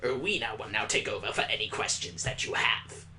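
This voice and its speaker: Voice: medium pitch, expressive, fairly quick, bright, forceful tone, slight background noise, pitched below the performer's own voice. A let's player voicing an eccentric lecturing teacher in a strained voice for a visual novel. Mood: winding down. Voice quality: strained put-on voice.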